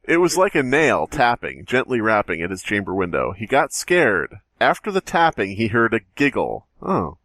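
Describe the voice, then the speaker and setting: A man's voice: on the low side, huge pitch swings, moderate pace, few pauses, fairly bright tone, no room sound. A man co-hosting a comedy podcast, reading a story and bantering crudely with casual profanity. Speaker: podcast co-host. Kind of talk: casual joking group chat and story reading.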